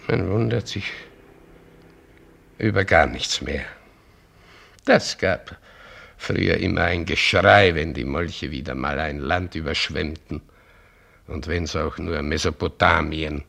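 An actor playing a grumbling, resigned old father out fishing in a radio play, muttering in a gravelly, husky voice. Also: very deep voice, a bit bright, exaggerated voice. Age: older. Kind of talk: German radio play acting.

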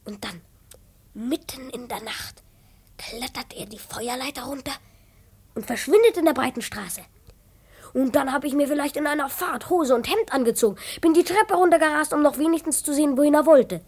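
A woman's voice: high voice, moderate pace, a little mellow. A woman playing an eager, self-important twelve-year-old boy detective in a children's radio play, earnest and easily indignant. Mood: breathless suspense. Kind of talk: children's radio play acting and first-person narration.

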